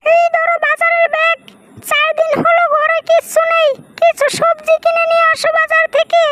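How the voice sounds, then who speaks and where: A woman's voice: very high-pitched, monotone, normal speed, bright, forceful tone. A woman voicing an exasperated, scolding fox wife in a comic cartoon. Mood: demanding.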